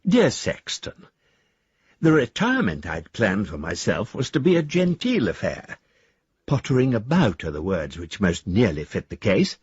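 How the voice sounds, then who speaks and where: An actor playing an older, genteel, bookish English retiree, husky-voiced, courteous and musing as he reads letters aloud in a radio drama. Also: lowish voice, huge pitch swings, normal speed, dry recording, heavily acted. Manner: courteous, wordy, hesitant, musing. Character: genteel, bookish retired old man, politely stubborn. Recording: dry, slightly dull studio sound.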